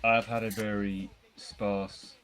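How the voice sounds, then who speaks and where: Deep-voiced man chatting about films. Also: little pitch movement, takes it slowly, background noise. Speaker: podcast co-host reviewing films. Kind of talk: casual film discussion.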